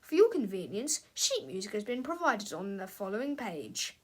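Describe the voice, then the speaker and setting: A woman giving an expressive read-aloud narration of a children's book. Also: medium pitch, normal speed, husky. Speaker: storybook reader.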